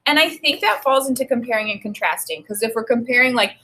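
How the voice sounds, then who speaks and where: A woman teacher reading a children's book aloud and pausing to think aloud about it. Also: on the high side. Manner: reads with expressive emphasis, pauses to think aloud. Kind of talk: read-aloud lesson with think-aloud.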